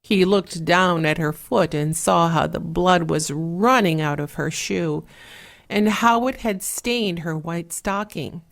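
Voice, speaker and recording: A soft-spoken woman narrating a fairy tale in a measured, relaxing way, with an 8D surround panning effect. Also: on the low side, gravelly. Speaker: storyteller.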